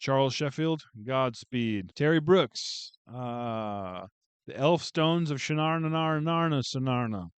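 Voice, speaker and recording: A man's voice: medium pitch, expressive, slow, clean tone, dry recording. A man casually going through a book haul on a video, chatting and joking with viewers as he reads out titles. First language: English. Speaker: booktube vlogger.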